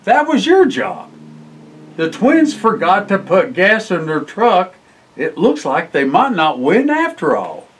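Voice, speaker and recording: An older man reading a children's picture book aloud in an expressive way, with exclamations and short asides. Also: sits high, measured pace, slight background noise. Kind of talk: storybook read-aloud for children. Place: indoor library room, seated by bookshelves.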